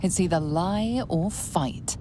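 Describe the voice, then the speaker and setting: A woman playing a solemn video game narrator, addressing the player in measured, understated scripted narration. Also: lowish voice, noisy background. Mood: measured, ominous.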